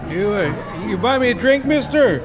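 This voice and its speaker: An actor playing a pitiful, broken, drunken panhandler with a halting, begging manner in a radio play. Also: male voice, sits high, expressive. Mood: pleading. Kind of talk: old-time radio drama acting. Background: music and crowd.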